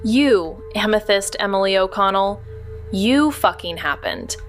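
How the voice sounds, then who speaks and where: Guarded, wry amateur sleuth. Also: female voice, medium pitch, animated, a little slow, soft background music. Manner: terse, wry, casual. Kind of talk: audio drama dialogue.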